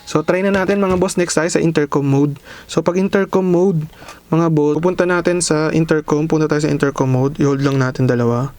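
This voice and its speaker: A man's voice: fairly high pitch, fairly even intonation, fairly quick, darkish tone. A man casually unboxing and reviewing a budget helmet intercom, talking straight to his viewers and calling them bosses. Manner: chatty, addresses viewers as bosses. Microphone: camera mic, sometimes through intercom earpiece.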